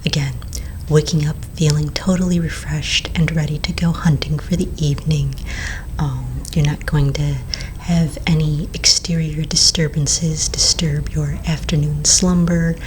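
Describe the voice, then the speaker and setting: Woman doing a soft whispery vampire sales lady, asmr roleplay, real low voice, gentle n patient, rumbly noise in the background. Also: steady tone, poor audio. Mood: gentle, reassuring. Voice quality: soft, breathy, mostly whispered. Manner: gentle, unhurried, reassuring. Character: friendly, patient female vampire coffin sales agent.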